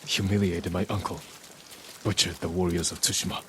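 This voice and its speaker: A deep-voiced, stoic samurai warrior. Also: male voice, fairly even intonation, brisk, a bit bright, slight background noise. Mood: grimly determined. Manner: grave, terse, commanding. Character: stoic, resolute samurai warrior man bent on vengeance. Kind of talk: cinematic video game voice acting.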